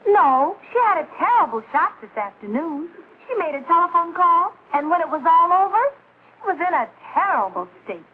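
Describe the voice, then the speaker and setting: A woman's voice: very high voice, sing-song, normal speed. An actress playing a sweet young woman, a devoted daughter and bride-to-be, in a vintage television sitcom. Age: young adult. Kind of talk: 1950s television sitcom acting. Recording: tinny vintage TV soundtrack, faint noise.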